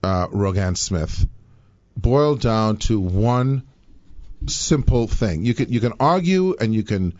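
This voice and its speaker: Bahamian male host, animated storyteller, very lively pitch, dry and slightly dull sound. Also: no echo. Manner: animated, rambling, quick to laugh. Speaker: talk show host. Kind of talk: live talk show chat.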